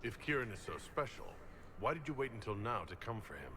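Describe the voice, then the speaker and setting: A man's voice: medium pitch, big pitch swings, brisk, big-room sound, a crowd in the background, lightly acted. A man playing a questioning hero in a fantasy video game, speaking directly and probingly. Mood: firm, challenging.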